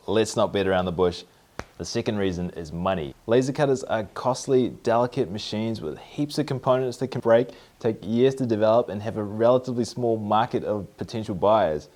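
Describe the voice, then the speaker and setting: Gesturing New Zealand man explaining a build. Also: on the low side. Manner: upbeat, explains with lively hand gestures. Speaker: maker video host. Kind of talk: explanatory maker vlog. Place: home garage workshop. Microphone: camera at mid-distance, framed from the waist up.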